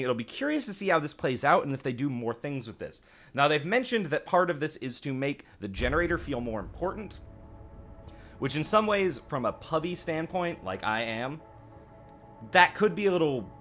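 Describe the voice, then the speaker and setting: A man's voice: mid-range voice, pushed and bright. A man giving casual, conversational commentary on a game update as a host, offering his own opinions with some self-deprecation. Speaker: gaming channel host.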